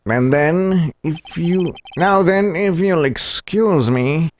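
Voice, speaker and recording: A male streamer reading visual novel dialogue aloud in character, halting and rereading lines as he goes. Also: on the high side, a little hiss or hum.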